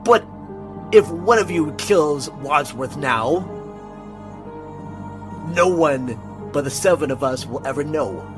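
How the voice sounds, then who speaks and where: A man playing a scheming blackmailer who narrates a murder mystery audio drama in a deliberate, dramatic manner. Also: fairly high pitch, expressive. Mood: menacing, persuasive. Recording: music bed under dialogue.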